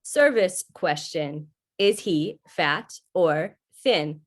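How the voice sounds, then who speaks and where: A woman playing a calm, helpful but unfeeling computer in a measured announcer style for 1950s radio sci-fi. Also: fairly low pitch. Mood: clinical. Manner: measured, impassive announcer delivery.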